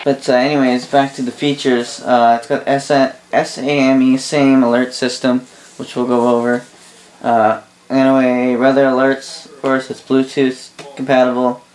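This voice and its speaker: A man giving a casual, unscripted product review in a rambling, halting way, often correcting himself, recorded indoors. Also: mid-range voice, flat delivery, darkish tone, poor audio. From Canada. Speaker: host of a radio gear review channel. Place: indoor room full of radio gear.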